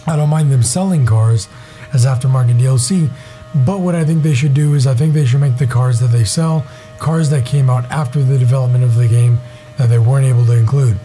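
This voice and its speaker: Hoarse young man, dark raspy voice. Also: medium pitch, a little fast, soft background music. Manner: rambling, enthusiastic, many fillers. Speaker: gaming channel host. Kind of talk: casual gaming commentary.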